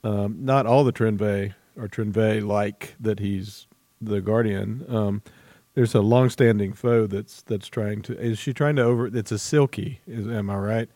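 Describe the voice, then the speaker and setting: A man hosting a conversational book interview, asking questions in a tentative, halting way. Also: on the low side, fairly even intonation, dark tone, no room sound. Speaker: interviewer, host.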